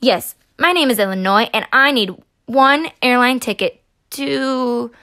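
A woman's voice: on the high side, unhurried, sharp tone. A woman playing a polite cartoon state from a storybook, hesitating through a phone call. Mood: hesitant, polite.

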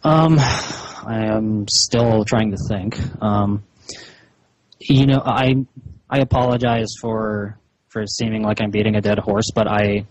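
A man with a low voice and little melody talks through a slightly muffled phone call. His delivery is measured and halting, yet he keeps pressing his point with a husky tone. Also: fairly even intonation, no room sound. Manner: measured, hesitant, insistent. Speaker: caller. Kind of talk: slow, halting phone conversation. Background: phone call recording.

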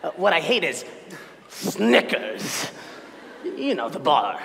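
An actor playing an arrogant, angsty teenage dark-wizard schoolboy, petulant, in a comedic staged reading. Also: male voice, fairly high pitch, big pitch swings, slow, vocal fry, full character voice. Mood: sullen, awkward. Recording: echoey hall, hiss and room noise.

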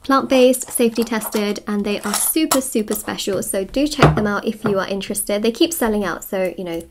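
A woman's voice: medium pitch, moderate pace, very noisy. Conversational woman giving a tarot reading. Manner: conversational, musing, repeats and rephrases herself. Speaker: tarot reader and host. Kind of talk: casual, improvised tarot reading to camera. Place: indoors at a wooden table.

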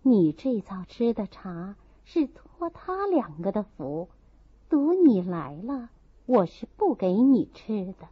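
A woman voicing a proud, fastidious, aloof young nun in a novel reading, with a haughty, cutting manner. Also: mid-range voice, very animated, breathy edge, dry recording, exaggerated voice. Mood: cool, disdainful. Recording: slightly dull, muffled recording.

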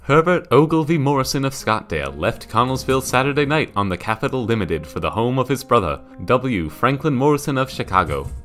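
A man reads old news clippings in a medium-pitched voice with lively intonation. Guitar-like music plays softly behind him. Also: fairly quick, hardly any pauses. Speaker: reader of newspaper clippings. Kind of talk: scripted reading of old news.

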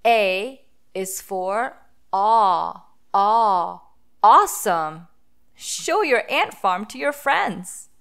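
Female children's read-aloud host: very unhurried, deliberate phonics delivery, mid-range pitch. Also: expressive, creaky, a bit bright, clear, resonant tone. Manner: deliberate, sounding out letters and words for children.

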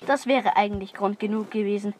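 A woman's voice: medium pitch, steady tone, fairly quick, background noise. A woman reading scripted narration for a children's radio play. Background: music.

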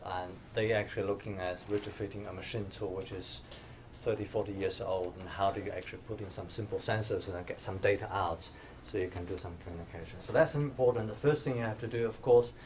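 Low-voiced man giving a hesitant lecture. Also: noisy background. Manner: hesitant, many fillers and restarts. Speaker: invited speaker, professor. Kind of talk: conference lecture. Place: dim lecture room, standing by projector screen.

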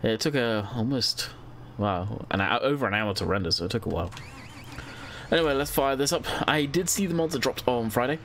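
A man's voice: medium pitch, lively intonation, brisk, a bit bright, slight background noise. Congested young man chatting over gameplay. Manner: chatty and rambling, thinking aloud while playing. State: congested from a cold. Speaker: gaming channel host. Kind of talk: casual let's-play commentary.

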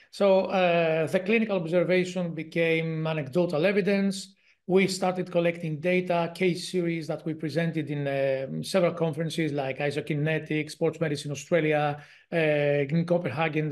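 A man in his forties speaks English with a strong Greek accent, stopping often on fillers and restarting his sentences. He is heard over a video call from a home office, and his voice sits fairly high for a man. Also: fairly even intonation, moderate pace. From Greece. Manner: hesitant, many fillers and restarts. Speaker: guest researcher. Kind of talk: casual interview talk.

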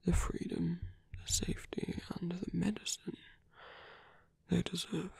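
A man playing a seductive, calm villain leader in an ASMR roleplay, breathy and intimate as he slowly coaxes a captive hero. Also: high voice, dry recording, full character voice. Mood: earnest, persuasive. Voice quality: breathy, husky, often whispering. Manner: slow, intimate, persuasive. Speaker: voice actor. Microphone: close, dry microphone.

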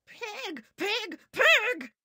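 A man voicing a bossy, prim woman who is the elder sister, speaking in a haughty, drawn-out way in a storybook reading. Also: sits high, sing-song, sharp tone, dry recording, studio quality, pitched above the performer's own voice, full character voice. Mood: shrieking. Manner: haughty, drawn-out commanding delivery. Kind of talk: storybook read-aloud.

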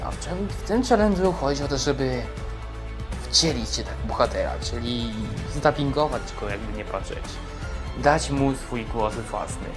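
Young man, casual video intro, mid pitch, hesitant and rambling, faint background music. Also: animated, moderate pace. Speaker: gamer and amateur voice-over creator.

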